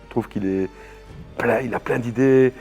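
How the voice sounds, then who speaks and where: A man's voice: medium pitch, moderate pace, a little mellow, soft background music. A man giving an investor testimonial in an interview, earnest and explanatory.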